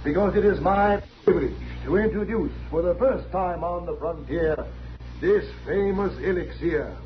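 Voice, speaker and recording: Male actor doing a loud-talking medicine show salesman, american, pretty high voice, grandiose, old western radio, dull sound w crowd. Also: normal speed, a little hiss or hum, lightly acted. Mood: grand, persuasive. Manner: grandiose, folksy, declamatory. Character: bombastic traveling medicine-show huckster selling tonic. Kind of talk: 1950s radio western acting, sales pitch.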